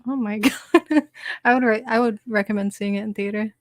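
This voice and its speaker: A woman's voice: a little high, normal speed, dry recording, studio quality. A woman chatting casually as a panelist in a film review discussion. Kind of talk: casual chat.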